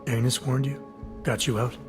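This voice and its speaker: An actor playing a guarded, stoic male agent in a video game, speaking in a terse, deadpan way. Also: mid-range voice, normal speed, music in the background, lightly acted. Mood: dry, guarded. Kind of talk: video game cutscene acting.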